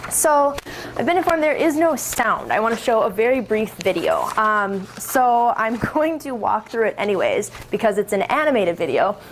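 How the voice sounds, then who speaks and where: A woman's voice: fairly high pitch, sing-song, normal speed, slight background noise, low-quality recording. A woman presenting to an audience, explaining with friendly asides and self-corrections. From Minnesota. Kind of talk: public talk.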